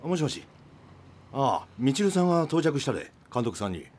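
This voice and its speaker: A lively, folksy island inn worker played by a man chats casually. It is radio drama dialogue with faint background ambience. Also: mid-range voice, fairly quick, slight background noise, lightly acted. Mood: relaxed, chatty.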